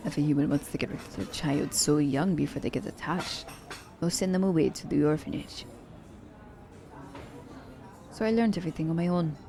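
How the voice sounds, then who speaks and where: A woman playing a confident, selfish young assassin in an ASMR romance roleplay, speaking in a teasing, halting manner. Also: low voice, mellow. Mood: wry, candid.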